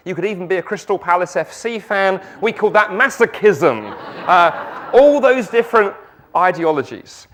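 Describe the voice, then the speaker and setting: Casting middle-aged man with a South London accent, slightly high voice, lively guest preacher who throws in jokes. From England. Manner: lively, joking asides, rhetorical questions. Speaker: guest speaker. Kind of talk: evening church talk.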